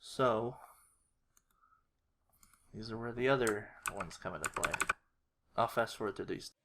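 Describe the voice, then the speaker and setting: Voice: medium pitch, measured pace. Someone casually walking through video editing on screen, half muttering to themselves while working at a computer. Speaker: video creator giving a tutorial. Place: indoors at a computer. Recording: clearly noisy, keyboard and mouse clicks.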